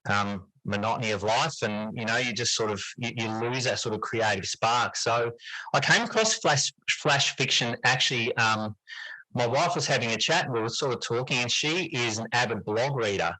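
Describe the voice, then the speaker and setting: A middle-aged man talks as an interview guest, explaining things reflectively. His voice is bright and clear-edged, at an ordinary male pitch. The sound is dry, with very little room around it. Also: moderate pace, no room sound, poor audio. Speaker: interview guest, teacher and writer. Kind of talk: conversational interview answers.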